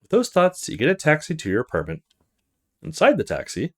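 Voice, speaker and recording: A man's voice: fairly high pitch, wildly swooping pitch, brisk. A man hosting a let's play, reading the narration of a visual novel aloud.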